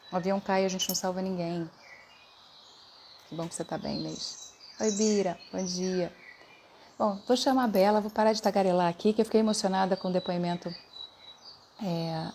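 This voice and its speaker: A woman's voice: mid-range voice, a little mellow, slight background noise. A middle-aged woman hosting a casual livestream from her living room, effusive and affectionate, greeting viewers by name. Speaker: host, voice teacher.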